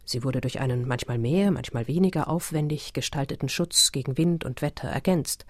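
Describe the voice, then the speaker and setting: A woman narrating a radio play in a measured, essayistic, literary manner. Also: extremely low pitch, no room sound, clean studio sound. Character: measured documentary narrator of explorer history.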